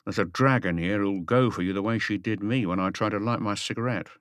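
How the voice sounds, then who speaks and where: A man's voice: on the low side, a little fast, a bit bright, clear, resonant tone, no room sound, clean studio sound, natural voice. A man voicing a grumbling, informal police inspector on guard duty in an audiobook. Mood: grumbling, confiding. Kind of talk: audiobook character voice.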